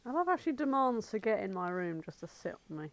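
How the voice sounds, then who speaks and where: A woman's voice: a little high, very animated, fast, dry recording. British woman in blunt casual banter. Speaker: podcast co-host. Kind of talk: casual comedic chat.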